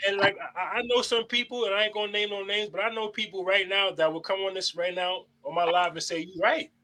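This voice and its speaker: A young man hosts a panel debate with a high voice and a bright, forceful edge. He holds forth bluntly and sermonizes with plenty of swearing. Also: flat delivery, fairly quick. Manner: blunt, heavy swearing, preachy. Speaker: host.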